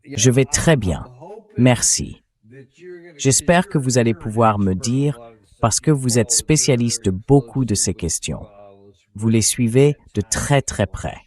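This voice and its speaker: A man's voice: mid-range voice, lively intonation, slow, a little mellow. A man hosting a political interview show, speaking as a translated synthetic voice-over.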